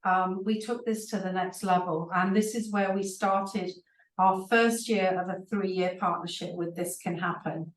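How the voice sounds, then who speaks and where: A woman's voice: lowish voice, fairly even intonation, measured pace. A woman executive speaking as a panel guest, explaining her company's approach fluently with the odd "um". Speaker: chief people officer, panel guest. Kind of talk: conversational panel talk. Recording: echoey room, distant mic.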